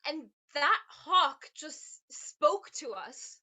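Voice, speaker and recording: A woman's voice: high-pitched, animated, extremely slow, sharp tone, heavily acted. A woman playing an anxious, loyal young camper in a staged reading, worried and questioning. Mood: uneasy.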